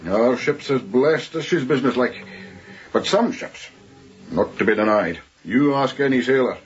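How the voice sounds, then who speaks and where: An actor playing a gloomy, superstitious ship's officer in a radio drama, speaking with solemn, deliberate earnestness. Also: male voice, medium pitch, lively intonation, muffled recording, lightly acted. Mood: grave, insistent.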